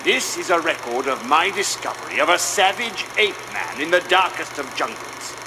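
An actor playing a pompous British gentleman explorer, theatrically narrating his jungle film like an old-fashioned documentary. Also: fairly high pitch, big pitch swings, normal speed. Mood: pompous, self-important. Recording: noisy old film reel with projector hum.

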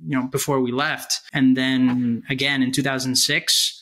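A man's voice: mid-range voice, little pitch movement, moderate pace, sharp tone. A man in his thirties, from Puerto Rico and New York, telling his life story conversationally as an interview guest. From Ecuador-born, grew up in Puerto Rico and New York City. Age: 30s. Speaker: podcast guest, aerospace engineer. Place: small room at home, video call.

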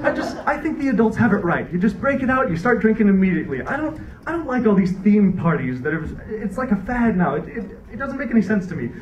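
A man's voice: sits high, sing-song, fast talker. A young man performing a stand-up comedy set on a theater stage, rambling and wry with frequent restarts, into a handheld mic. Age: young adult. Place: dark theater stage before a laughing audience. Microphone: handheld stage mic, recorded from a far camera. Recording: roomy, distant, dull hall recording with crowd laughter.

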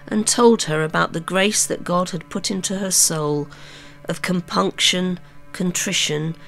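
Woman, solemn scripted reading, formal, measured, gravelly creak, faint music. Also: on the low side, fairly bright tone. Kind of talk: solemn scripted reading of an old religious text.

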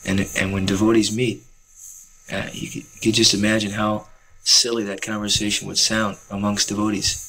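A young man speaking earnestly and calmly about selling books to travelers, with faint hiss from old film audio. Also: fairly low pitch, normal speed, husky, low-quality recording. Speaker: book distributor. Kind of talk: reflective commentary over footage.

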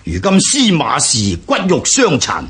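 An eloquent steppe nobleman in a historical radio drama, speaking Hong Kong Cantonese. His delivery is oratorical and resolute. The pitch swings very widely while the words come slowly and weightily. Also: wildly swooping pitch, fairly bright tone. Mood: solemn, rousing. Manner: declamatory, oratorical.

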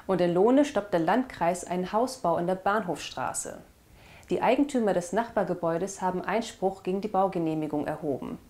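Young woman reading local news in a studio, relaxed mellow tone, clip-on mic. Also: fairly low pitch, moderate pace. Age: young adult. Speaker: news presenter. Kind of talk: scripted news presentation. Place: indoor studio with blue backdrop. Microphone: lapel mic.

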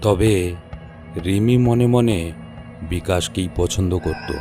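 A man narrating a scripted horror story in measured, suspenseful short phrases. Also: low voice, music in the background.

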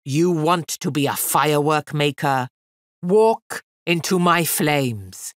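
A huge fire fiend speaks with slow, booming scorn, as a woman reader puts him on. His voice sits low and drags each word out. The storybook recording is close and dry. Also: lively intonation, no echo, heavily acted. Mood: commanding. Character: huge roaring male fire fiend, mocking. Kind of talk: storybook reading.

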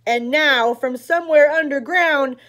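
A middle-aged woman reading a picture book aloud for children in an expressive, dramatic, chanting way. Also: sits high, measured pace. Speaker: library story time host.

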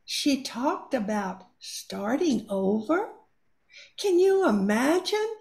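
A woman's voice: high-pitched, sing-song, slow pace, creaky, darkish tone, exaggerated voice. A woman playing a nosy, scandalised neighbour gossiping in an amateur radio play. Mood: gossipy.

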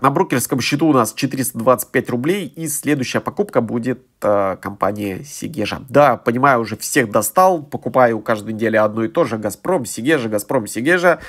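A man's voice: medium pitch, fairly bright tone. A man hosting an investing channel, talking through his portfolio over a phone screen recording with lots of hesitant fillers. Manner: filler-heavy, hesitant with frequent "э".

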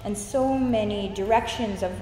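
A woman's voice: medium pitch, moderate pace, soft background music. A young American woman, an opera singer, answering interview questions with enthusiasm and frequent laughter, recorded indoors from some distance. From United States. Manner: enthusiastic, laughs often, warm gushing praise. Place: room with high windows, indoors. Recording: echoey room, reverb, faint noise.

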